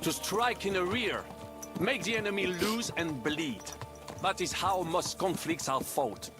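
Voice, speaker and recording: A worldly French mercenary from a medieval video game. His voice sits fairly high and has a French accent, and he lectures pragmatically with French phrases mixed in. Music and horse hooves run clearly behind him. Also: unhurried. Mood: matter-of-fact. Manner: lecturing, matter-of-fact, peppered with French phrases.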